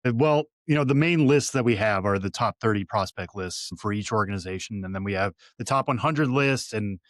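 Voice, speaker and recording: A man's voice: on the low side, a little fast, no echo, studio quality. A man in his thirties from Western Massachusetts, a guest analyst giving long, detailed conversational answers with light fillers. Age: 30s.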